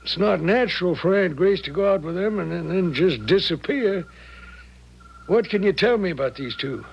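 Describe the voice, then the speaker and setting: An American actor playing a plain-spoken small-town sheriff with a creaky voice, folksy and deliberate, in 1970s radio drama style. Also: male voice, sits high, animated, muffled recording, exaggerated voice. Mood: pondering.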